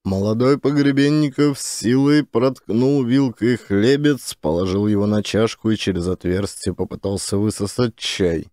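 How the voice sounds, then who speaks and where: A man's voice: fairly low pitch, normal speed, few pauses, dark tone, no echo, studio quality. A man narrating a satirical family story from a script.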